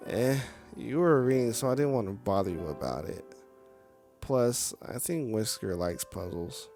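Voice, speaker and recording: A man's voice: fairly low pitch, animated, measured pace, darkish tone. A man voicing a humble, friendly sad clown puppet in a game, casual and self-effacing. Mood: apologetic. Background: music.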